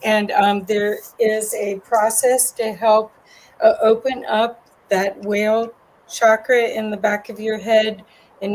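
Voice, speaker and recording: A woman moderating an online conference, thanking the speaker and giving his contact details in a gentle manner over a video call. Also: mid-range voice, low-quality recording. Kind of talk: casual online chat.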